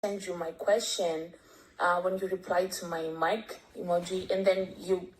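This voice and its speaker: A woman's voice: fairly low pitch, little pitch movement, a little slow, a little hiss or hum, low-quality recording. A woman from Greece with a Greek accent, leaving a hesitant voice memo full of ums and restarts. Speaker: caller sending a voice memo. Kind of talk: recorded voice memo.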